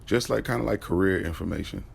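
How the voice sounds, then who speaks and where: A man's voice: sits low, vocal fry, slight background noise. A man playing an easygoing young driver telling his own story in casual, slangy audio drama narration. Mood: casual, storytelling. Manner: conversational, slangy, unhurried. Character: Jalen, easygoing young male driver, smitten, recounting his day.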